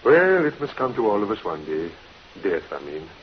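An actor playing a courteous, sympathetic doctor in a vintage radio drama, formal and kindly, on a muffled, hissy recording. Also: male voice, fairly low pitch, sing-song, normal speed. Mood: businesslike. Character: courteous, sympathetic, businesslike male attending doctor. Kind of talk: 1950s radio acting.

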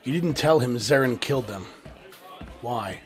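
A man's voice: mid-range voice, lively intonation, measured pace, faint music behind, natural voice. Man as a blunt smuggler pilot. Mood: probing. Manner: casual, blunt. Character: gruff, loyal male smuggler pilot sidekick. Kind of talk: audio drama acting.

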